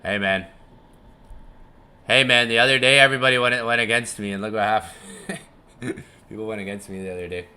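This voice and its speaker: A man's voice: fairly low pitch, steady tone, brisk, pauses often, sharp tone. A young man hosting a casual live stream, rambling, chuckling and swearing casually as he repeats himself. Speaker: live-stream trading host.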